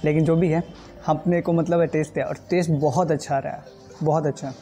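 A man's voice: a little high, fairly even intonation, a little fast. Chatty Bihari man reviewing food, music behind. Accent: Bihari Hindi. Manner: chatty, enthusiastic, lots of fillers. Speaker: food vlogger. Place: restaurant indoors. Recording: loud background music.

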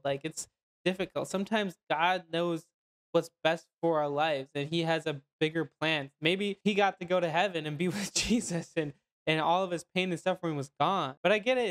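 A young man giving casual reaction commentary, informal and sincere. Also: high-pitched. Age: young adult. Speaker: reaction video host. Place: indoors at a desk, webcam view.

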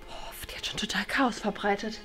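A woman's voice: mid-range voice, brisk, in a whisper, over loud music, close to an everyday voice. A woman playing a harried, exasperated mother who mutters and complains to herself in a family sketch. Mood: exasperated muttering. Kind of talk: scripted family sketch for children. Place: indoors, moving through rooms.

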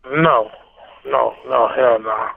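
Tinny phone-line man, gravelly and blunt. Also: medium pitch, slow pace, slight background noise. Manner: terse, blunt, slangy. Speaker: car seller answering a call. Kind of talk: casual phone conversation. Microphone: phone call.

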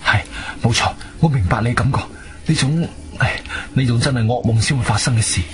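An actor playing an earnest, concerned adventurer-investigator, both narrating and speaking in a Cantonese radio drama. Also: male voice, medium pitch, fairly quick, lightly acted. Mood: concerned, urgent.

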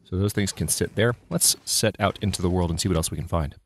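Let's play host, male, low voice, slightly congested, rambling self-correcting commentary, faint room ambience. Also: fast talker, noisy background. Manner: chatty, thinks aloud, frequent restarts. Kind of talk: casual gameplay commentary.

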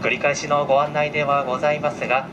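A man working as a train conductor makes formal, very polite and measured announcements over the cabin speakers. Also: fairly high pitch, level tone, lo-fi sound. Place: inside a train car. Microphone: camera picking up cabin loudspeaker. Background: train ventilation and vehicle noise.

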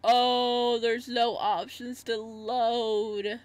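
A woman giving casual let's-play commentary and reading game text aloud, with an excitable, reactive manner. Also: a little high, extremely slow, clear, resonant tone, no echo. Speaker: gaming streamer.

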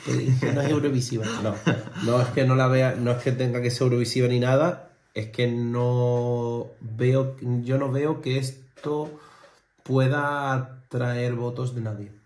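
A man's voice: medium pitch, fairly even intonation, measured pace, darkish tone. Chatty young man in an echoey room. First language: Spanish. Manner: chatty, rambling with many fillers and restarts. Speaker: reaction channel co-host. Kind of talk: casual reaction chat. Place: living room at home. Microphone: fixed camera on a desk. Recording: roomy home echo.

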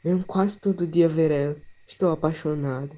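An actor playing a lovesick, impulsive young boy, hushed and earnest, in an amateur radio soap opera. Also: medium pitch, fairly even intonation, normal speed, close to an everyday voice. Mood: dreamy.

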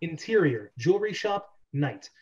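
A man's voice: on the high side, very animated, measured pace, darkish tone. A young man hosting a script reading club, reading stage directions and chatting casually. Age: young adult. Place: bedroom on a video call. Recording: dull lo-fi webcam audio.

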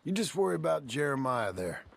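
An actor playing a patient middle-aged American cowboy ranch hand in a video game, with a gravelly voice and a reassuring drawl. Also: male voice, a little high, sing-song, a little fast, slight background noise. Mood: calm, reassuring. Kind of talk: naturalistic video game cutscene acting.

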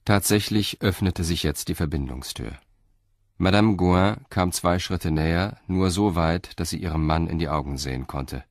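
An actor narrating a German crime radio play as a grave, composed storyteller. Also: male voice, low-pitched, moderate pace, no room sound, clean studio sound. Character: grave, composed male storyteller of a detective tale.

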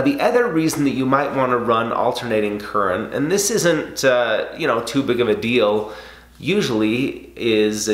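A man's voice: mid-range voice, a bit bright. A man in his thirties explaining welding in a casual, conversational way, with frequent fillers, seated in a home garage workshop. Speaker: welding instructor. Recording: roomy garage echo.

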